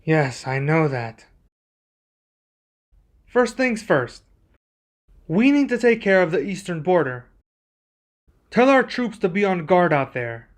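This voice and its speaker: A man playing a young king and protective husband in an ASMR roleplay, speaking in a formal, measured, deliberate way. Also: sits high, sing-song, exaggerated voice. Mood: stern, urgent.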